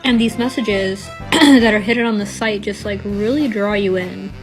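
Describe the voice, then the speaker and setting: A woman's voice: medium pitch, moderate pace, soft background music, low-quality recording. A woman giving a casual, slangy explainer in the manner of an online content creator.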